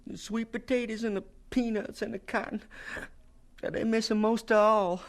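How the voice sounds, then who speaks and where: A woman's voice: on the high side, steady tone, normal speed, lightly acted. A woman playing a devoted, plain-spoken young student pleading earnestly in an audio drama. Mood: sorrowful pleading.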